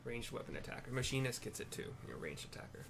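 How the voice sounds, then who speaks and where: A man's voice: mid-range voice, big pitch swings, quick pace, vocal fry, background noise. A man giving casual commentary as he plays a video game, rambling through offhand asides. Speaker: gamer, channel host.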